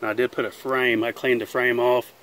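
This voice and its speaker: A man's voice: mid-range voice, level tone, fairly bright tone. A man who keeps bees, talking casually and plainly as he films outdoors in a chicken pen. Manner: plain-spoken, unhurried, folksy grammar. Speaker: beekeeper and channel host. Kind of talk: casual narration while filming. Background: faint buzzing of bees outdoors.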